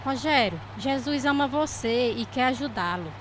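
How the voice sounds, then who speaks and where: A woman's voice: sits high, expressive, normal speed, slight background noise. A woman acting as a devout, patient charity worker in a radio drama, speaking earnestly with a Brazilian accent. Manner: earnest, preaching.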